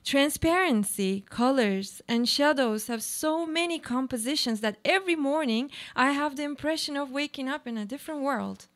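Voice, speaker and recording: A woman acting a guarded, high-voiced monologuist. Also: moderate pace, hardly any pauses, fairly bright tone. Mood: reflective. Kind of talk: live radio play monologue.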